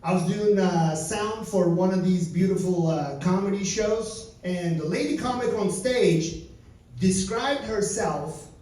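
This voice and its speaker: A man's voice: high-pitched, measured pace, darkish tone, far from the mic, a little hiss or hum. A man, doing a stand-up set with dry, wry storytelling. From Turkey. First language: Turkish. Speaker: stand-up comedian. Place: comedy lounge stage. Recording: echoey lounge, mic from afar.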